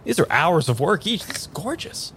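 An actor playing an eager, pushy man without his memory in a naturalistic improvised audio drama, halting and repeating himself. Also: fairly high pitch, sing-song, pushed and bright, a little hiss or hum. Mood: excited wonder. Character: eager amnesiac man, pushy, boyishly enthusiastic tarot querent.

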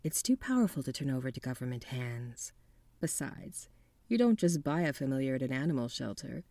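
A woman's voice: rumbling low, lively intonation, a little fast, dark tone, dry recording. A woman acting the part of a cool, confident, secretive occult detective, composed and matter-of-fact, in a 1940s noir radio drama.

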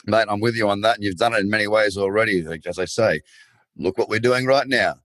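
A man's voice: lowish voice, fast talker, fairly bright tone, no room sound. A man hosting an interview show, talking in a friendly, loose way. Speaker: podcast host. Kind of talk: casual interview chat.

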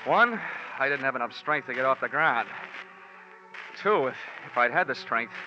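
An actor playing a hard-boiled, wisecracking investigator with an American accent, wry and deadpan in 1940s radio detective style. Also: male voice, medium pitch. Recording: muffled, hissy 1940s radio recording.

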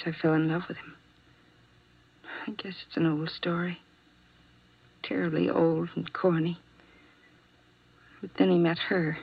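Wistful, fragile nurse on muffled old radio. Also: female voice, fairly low pitch, husky. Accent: American. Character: lovelorn, resigned young female nurse confessing, wistful and fragile. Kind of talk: 1950s radio drama acting. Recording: muffled vintage 1950s radio recording.

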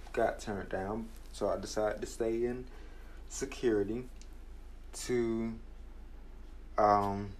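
A man's voice: lowish voice, a little hiss or hum. A young man in his twenties giving an earnest, reflective motivational talk about his own struggles. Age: 20s. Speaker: podcast host.

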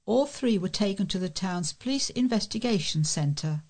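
A woman's voice: mid-range voice, darkish tone. A woman reading news reports aloud as a volunteer newsreader.

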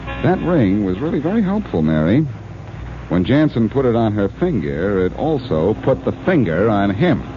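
Casting: cocky amateur detective, old radio crime serial, wry, lively intonation, dull aged recording. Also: male voice, on the low side, moderate pace, over loud music. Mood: breezy, pleased. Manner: wry, wisecracking, self-assured. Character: cocky wisecracking male amateur detective, adult, quick and smug. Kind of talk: 1940s radio crime drama acting.

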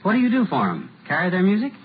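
An actor playing a blustering, wisecracking husband in a 1940s radio comedy. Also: male voice, high-pitched, huge pitch swings, racing. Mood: amused, teasing. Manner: brash, wisecracking. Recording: dull, noisy old radio recording.

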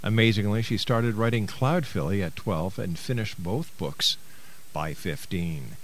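Low-pitched male radio host. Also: measured pace, low-quality recording. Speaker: radio talk show host. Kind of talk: radio interview and announcing. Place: radio studio.

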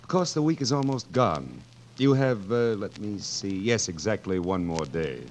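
A man's voice: fairly low pitch, very animated, normal speed, a little mellow. An actor playing a sly, menacing king, drawling with mock forgetfulness and gloating in 1940s radio drama style. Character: sly, sardonic, menacing king toying with his victim. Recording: faintly noisy vintage 1940s radio broadcast.

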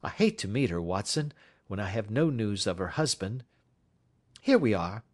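A reserved gentleman detective speaks in measured, precise sentences, voiced by a man reading the story aloud. His voice sits a little above the narrator's and rises and falls with lively intonation. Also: on the low side. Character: calm, reserved gentleman detective, middle-aged.

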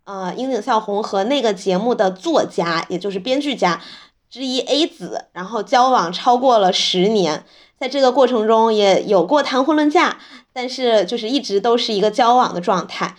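A woman with a fairly high voice hosts a casual podcast. She explains things conversationally, like telling a story to her listeners. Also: expressive, normal speed. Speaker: podcast host.

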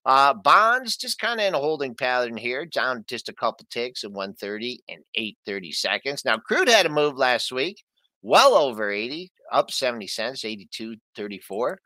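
A man hosting a pre-market trading show, briskly running through price quotes and chatting with his co-hosts. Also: mid-range voice, sing-song, sharp tone, no room sound. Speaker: show host. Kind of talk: market news rundown and co-host chat.

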